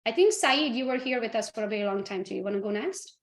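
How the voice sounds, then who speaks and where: A woman's voice: a little high, lively intonation, quick pace. A woman hosting a training session who keeps checking in with her audience. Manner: checks in with the audience using tag questions. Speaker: host and real estate team leader. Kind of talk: live training talk. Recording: roomy echo.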